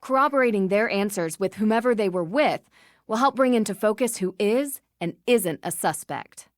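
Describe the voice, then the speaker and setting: An actress plays a young woman detective in a video game. She is earnest and methodical, with a mid-pitched voice and determined manner. The recording is very dry. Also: lively intonation, moderate pace, dry recording, natural voice. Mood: focused. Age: young adult. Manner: clear-headed, methodical, explanatory.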